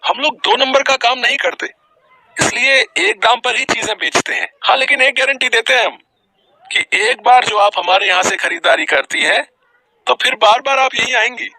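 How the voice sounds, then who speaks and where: A man voicing a smooth-talking young shop salesman in a story, polite and persuasive. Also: very high-pitched, normal speed, bright, forceful tone, a little hiss or hum, heavily acted, poor audio. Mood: eager, persuasive.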